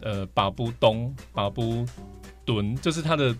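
A man's voice: mid-range voice, a little slow. A man with a Taiwanese Mandarin accent explaining things enthusiastically as a guest in a conversation. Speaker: podcast guest, vinyl collector. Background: background music.

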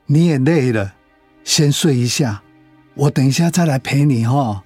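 A man playing a weary, proud elderly father in a radio drama, with a husky voice. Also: mid-range voice, a little slow, a little mellow, no echo, soft background music. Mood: soothing. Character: weary elderly father, proud but pleading, soothing husband.

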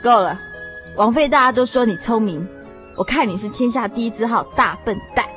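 A woman's voice: fairly high pitch, a little fast, a bit bright, faint music behind, close to an everyday voice. A woman playing an exasperated, practical wife in a radio drama, incredulous and colloquial, with a Taiwanese Mandarin accent. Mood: scolding. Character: exasperated, practical wife scolding and pleading with husband.

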